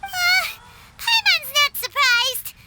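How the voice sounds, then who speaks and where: A woman voicing a tiny, excitable female fairy companion who chatters about herself in the third person, in a game cutscene. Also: very high voice, takes it slowly, heavily acted, low-quality recording. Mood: chatty. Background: game score music.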